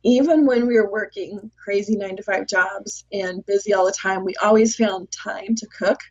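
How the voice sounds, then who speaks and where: A woman's voice: mid-range voice, steady tone, moderate pace, a little mellow. Chatty woman food blogger. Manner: chatty, detailed explainer. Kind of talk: casual interview chat. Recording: dull lo-fi recording.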